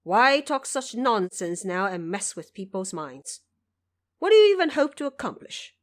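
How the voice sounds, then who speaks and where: A woman's voice: mid-range voice, big pitch swings, moderate pace, close to an everyday voice. Woman playing a scornful, skeptical court official. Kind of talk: audio drama character voice.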